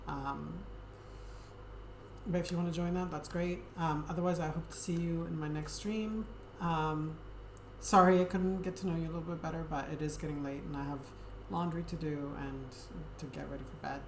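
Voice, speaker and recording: Woman, low voice, soft-spoken livestream chat, hesitant with ums, roomy echo, noisy background. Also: normal speed. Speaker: Twitch streamer. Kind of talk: casual chat with viewers. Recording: echoey room with steady background noise.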